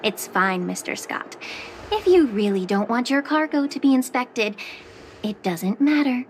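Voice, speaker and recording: An actress playing a calm, long-lived alchemist official who speaks with dry, teasing sarcasm in a video game. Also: female voice, a little high, a little slow, a little hiss or hum. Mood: composed. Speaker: head alchemist.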